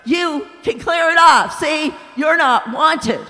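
A woman voicing the angry, blustering ghost of a man, shouting in indignation. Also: sits high, takes it slowly, pitched above the performer's own voice, full character voice. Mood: indignant. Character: bitter, quarrelsome man's ghost, blustering. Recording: echoey hall.

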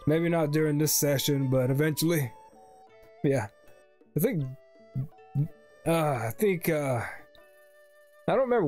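A man's voice: on the high side, warm tone. A young man giving casual let's play commentary in a rambling, jokey way. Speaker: gaming video host. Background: game music.

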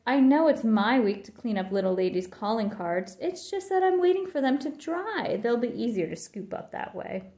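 A woman's voice: on the high side, animated, normal speed, a little mellow, lightly acted. A woman voicing a whiny, complaining young girl bear cub in a storybook. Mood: excuse-making.

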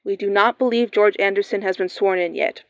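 A woman playing a radio newscaster, reading breaking news in a steady, formal manner. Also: mid-range voice, brisk, no echo, natural voice. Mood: urgent. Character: composed female radio newscaster. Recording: dull lo-fi sound.

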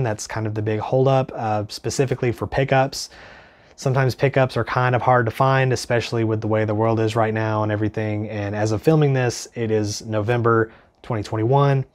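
A young man in his twenties with a stuffy, congested voice from a cold, chatting casually and earnestly as he reviews gear in his small home studio, with lots of ums. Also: lowish voice. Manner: chatty, filler-heavy, earnest.

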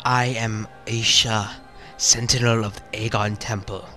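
A man voicing a solemn dead alien temple sentinel with a put-on British accent, reading in a grave, measured, formal way. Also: fairly low pitch, little pitch movement, pushed and bright, faint music behind.

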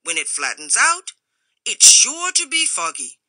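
A woman's voice: a little high, very animated, a little slow, bright, forceful tone, no echo, voice pushed up, exaggerated voice. A woman voicing a stubborn, blustering older male bathmaster who brushes off warnings. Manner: blustering, dismissive.

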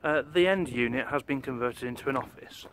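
A man in his forties, an estate agent, walking through a house and pointing out rooms in a steady, informative way. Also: mid-range voice, a little fast, a little hiss or hum. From England. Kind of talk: property walkthrough tour.

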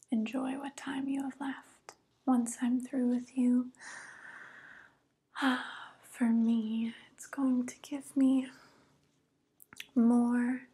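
A woman acts out an evil witch who is centuries-cold yet young-sounding, in a very slow, extremely breathy whisper. Her delivery is condescending and mock-pitying, hushed and even, close to the microphone as in an ASMR roleplay. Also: fairly high pitch, heavily acted. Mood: feigned regret. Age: young adult. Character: evil 142-year-old witch who looks young, coolly predatory. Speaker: ASMR performer.

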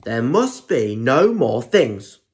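A man voicing exasperated, scolding parents in a children's story, with drawn-out outraged exclamations. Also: medium pitch, sing-song, a little mellow.

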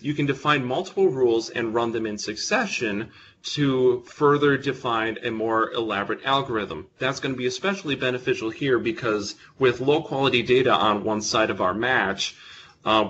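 A man's voice: medium pitch, fairly bright tone, lo-fi sound, a little hiss or hum. A young man presenting a technical talk to a live audience in a meeting room, speaking close to his microphone with a big voice and casual, self-deprecating humor. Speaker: presenter at a user group meeting.

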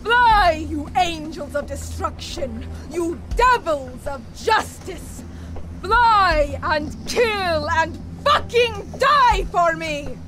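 A woman's voice: extremely high pitch, lively intonation, very slow, over loud music, heavily acted. An actress playing a fanatical vampire villainess in a video game, rallying her army with grandiose, commanding oratory. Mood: frenzied.

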